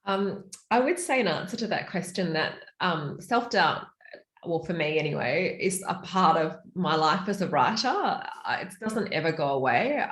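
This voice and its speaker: A woman with an Australian accent, an author chatting in a virtual panel, animated and full of fillers. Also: deep voice, roomy sound.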